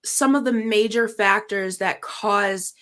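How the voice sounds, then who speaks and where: A woman's voice: mid-range voice, slow, a bit bright. A woman hosting a podcast interview, chatting casually in an agreeable, affirming manner. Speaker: podcast host.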